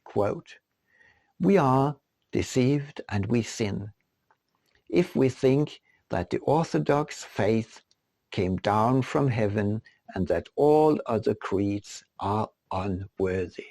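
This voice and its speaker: A man reading his own written text as an audiobook narrator, in a deliberate, rhetorical manner. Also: on the low side, animated, gravelly, dark tone, dry recording. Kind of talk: scripted narration.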